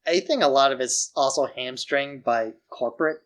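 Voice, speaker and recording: A man's voice: medium pitch, normal speed, a bit bright. A man co-hosting a podcast, chatting informally and arguing his opinions with loose, colloquial phrasing. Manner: informal, frequent "like" while arguing points. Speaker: podcast co-host. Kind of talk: casual opinionated chat.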